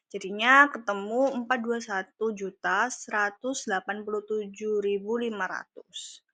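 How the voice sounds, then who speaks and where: A woman's voice: medium pitch, bright, forceful tone, no echo. A young woman, a student, walking through accounting calculations in a class presentation, talking hesitantly with fillers. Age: young adult.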